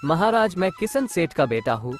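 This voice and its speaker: A man's voice: high-pitched, big pitch swings, normal speed, faint music behind. A man voicing a merchant's young son making accusations in a cartoon story. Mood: accusing. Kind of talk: Hindi cartoon story dubbing.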